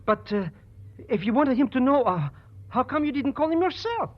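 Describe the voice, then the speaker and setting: An actor playing a nervous, wheedling New York small-time informant in 1940s radio style, hesitant and protesting. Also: male voice, extremely high pitch, big pitch swings, normal speed. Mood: flustered. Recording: dull, lo-fi 1940s radio recording.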